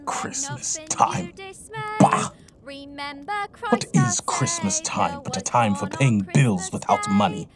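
A man's voice: medium pitch, wildly swooping pitch, slow, over music, exaggerated voice. An actor playing a bitter, miserly old man in an audio drama, scornful and dismissive in a theatrical way. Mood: scornful ranting.

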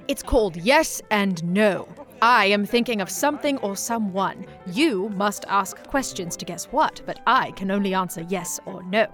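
A woman's voice: medium pitch, expressive, unhurried, a bit bright, faint music behind. A woman playing a good-natured, forgiving young party host with coaxing, theatrical delivery in an audio drama. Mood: playful.

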